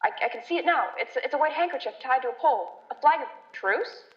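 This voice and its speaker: In a dull, echoey recording, a rattled radio field reporter acted by a woman describes horrors live. The voice is high and urgent, stumbling and repeating words in alarm, in the manner of an old radio play. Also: lively intonation, fast talker. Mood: tense, hushed watchfulness. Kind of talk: dramatic 1930s-style radio play eyewitness commentary.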